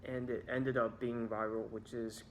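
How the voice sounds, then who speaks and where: A man's voice: mid-range voice, level tone, normal speed, a little hiss or hum. A young man narrating a scripted storytime about his own experiences as a video creator. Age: young adult.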